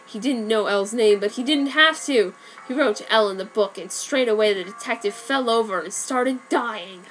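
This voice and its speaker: Woman narrating fan fiction, wry and offhand, fairly high voice, soft music behind. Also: moderate pace, hardly any pauses, low-quality recording. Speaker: reader. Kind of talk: comic dramatic reading of fan fiction. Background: faint background music.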